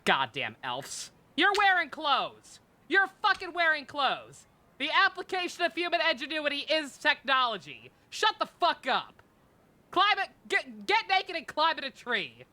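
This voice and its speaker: A woman's voice: high-pitched, big pitch swings, unhurried, bright, forceful tone. A woman streaming a video game with casual, exasperated, sweary commentary.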